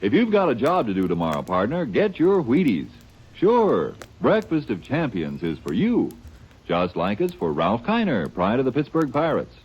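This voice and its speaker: A man's voice: huge pitch swings, moderate pace, a little mellow, slightly rough, dull audio. A man delivering a folksy, hearty 1940s radio cereal commercial. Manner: folksy, hearty sales pitch. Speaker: commercial announcer. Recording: old radio broadcast.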